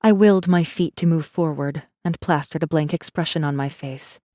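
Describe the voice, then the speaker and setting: A woman playing a guarded, secretive rebel messenger who narrates an audiobook in an intense, measured first-person style. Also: low voice, warm tone, no echo, studio quality, close to an everyday voice. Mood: tense, wary.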